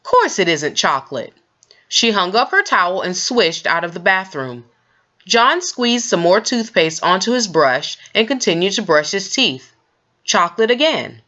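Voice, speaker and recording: A woman reading a children's book aloud in an expressive storytelling style, with scripted narration. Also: medium pitch, moderate pace, highs cut off.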